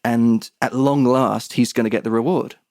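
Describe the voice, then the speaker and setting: A man's voice: mid-range voice, fairly even intonation, normal speed, a little mellow, no echo, studio quality. Chatty man linking film themes. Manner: chatty, many fillers while recalling quotes. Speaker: podcast co-host. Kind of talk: casual chat about a film. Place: home, over an internet call.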